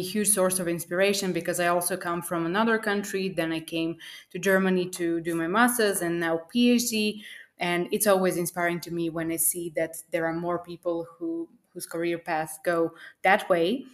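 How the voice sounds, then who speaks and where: A woman's voice: on the low side, fairly even intonation, normal speed. Young woman hosting a podcast interview. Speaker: podcast host.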